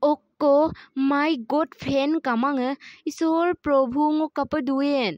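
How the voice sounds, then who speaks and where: Chatty village cartoon woman played by a woman, high voice, roomy lo-fi recording. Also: steady tone, unhurried. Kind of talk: amateur cartoon voice-over dialogue. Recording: muffled lo-fi sound with roomy echo.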